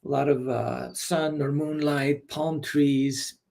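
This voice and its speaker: A man in his forties, born in Iceland, talking as a guest in a relaxed, hesitant way with many fillers over a video call. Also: on the high side, takes it slowly, creaky. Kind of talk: casual interview.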